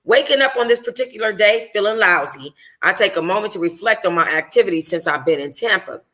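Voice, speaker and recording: A woman reading a first-person novel aloud informally as a book club host, in an expressive, conversational, slangy way. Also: mid-range voice, fast.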